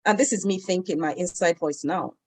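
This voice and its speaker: A woman, a guest in an interview, telling stories in a measured, lively way. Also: on the low side. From Nigeria. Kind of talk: conversational interview storytelling.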